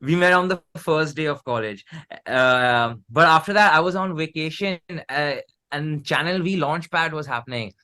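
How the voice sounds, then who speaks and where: An Indian man in his early thirties talks casually in an interview. His voice sits on the high side, and he circles through his thoughts with repeated words and frequent filler "like"s. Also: sharp tone, clean tone. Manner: halting, repeats words, says "like" a lot. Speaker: musician, band member being interviewed. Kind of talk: casual chat.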